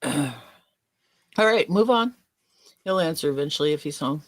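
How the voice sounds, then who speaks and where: A man's voice: fairly high pitch, expressive, normal speed, dry recording, studio quality. A man bantering casually on a livestreamed panel podcast, blunt and playful.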